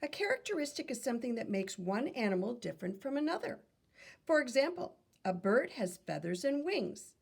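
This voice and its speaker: A woman reading aloud to children like a teacher, in an instructive manner, pausing to pose questions to young listeners. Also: a little high, very animated, gravelly, slightly rough.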